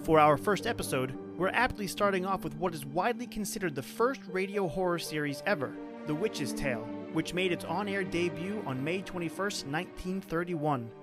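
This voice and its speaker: A man's voice: sits high, moderate pace, few pauses. A man giving a scripted introduction as a podcast host. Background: prominent music.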